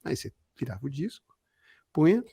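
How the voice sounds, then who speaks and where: Higher-voiced Brazilian man in his late fifties. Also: very animated, a little fast, vocal fry, mellow, slightly rough, no echo, clean studio sound. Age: 50s, almost sixty. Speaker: podcast co-host. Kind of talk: casual chat, reminiscing.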